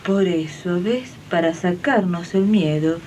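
A woman's voice: fairly low pitch, unhurried, mellow, close to an everyday voice. Woman acting older Argentine maid, distant muffled recording. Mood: insistent, worried. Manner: colloquial, emphatic, repeats herself. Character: María, older woman domestic worker, indignant, worried, insistent. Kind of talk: 1980s community radio drama acting. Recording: muffled, distant, noisy archive radio recording.

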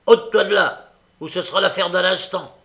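A man voicing a brutal, fierce robber in an audiobook story, with a gruff and threatening manner. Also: high voice, fairly quick, bright, forceful tone, pitched above the performer's own voice, exaggerated voice. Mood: savage threat. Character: brutal, fierce male robber with a savage temper.

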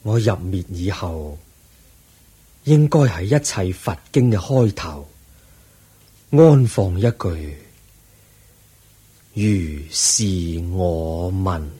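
An actor playing a serene, compassionate old Buddha in a radio drama, speaking gently and solemnly with measured delivery. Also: male voice, low-pitched, animated, husky, exaggerated voice. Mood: solemn teaching. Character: serene, compassionate old male Buddha giving final teachings. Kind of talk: Cantonese radio drama acting.

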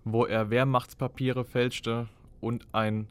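A man's voice: mid-range voice, little pitch movement, slow pace, clean tone. A man giving explanatory historical commentary, emphatic and building his points by repetition. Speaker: documentary commentator.

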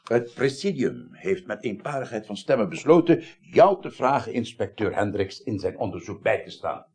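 Husky, paternal statesman, animated. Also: lowish voice. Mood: paternal, persuasive. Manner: measured, courtly, formal. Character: paternal, persuasive male parliament chairman, diplomatic and formal. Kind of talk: 1980s Dutch radio drama acting.

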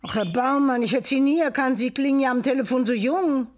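An actress playing a chatty, credulous neighbour with an effusive conversational manner in a radio play. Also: female voice, high voice, brisk, close to an everyday voice. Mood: impressed.

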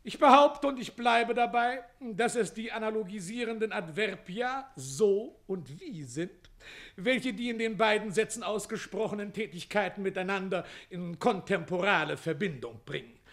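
An actor playing a pompous, pedantic older lawyer in a comic radio play, blustering and oratorical. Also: male voice, high-pitched, normal speed, fairly bright tone. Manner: pompous, hair-splitting, oratorical. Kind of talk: German radio play comedy acting.

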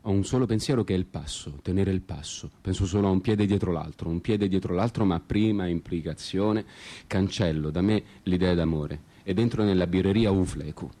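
An actor playing a disenchanted solitary traveller, narrating a literary radio-theatre monologue in a measured, introspective and ironic way, with guitar behind him. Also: male voice, low voice, warm tone, lightly acted. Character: disenchanted male traveller wandering a foreign city alone.

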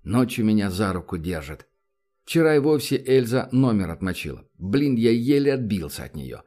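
A man's voice: on the low side, sing-song, moderate pace, warm tone. A man reading a first-person novel aloud as an audiobook narrator, with a wry, confiding storytelling manner.

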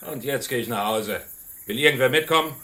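A man acting a sneering rural troublemaker in a radio play. His forceful, sharp voice sits at medium pitch and drips with derision. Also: fairly quick, close to an everyday voice. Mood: mocking. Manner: mocking, scornful, derisive. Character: sneering, contemptuous village troublemaker.

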